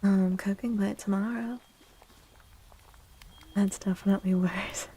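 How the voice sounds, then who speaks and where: A woman livestreaming soft-spoken ASMR chat in a playful, unhurried way, with a breathy, often whispery voice close to the mic. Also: mid-range voice, steady tone, a little hiss or hum. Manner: playful, unhurried, repeats phrases. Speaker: livestream host.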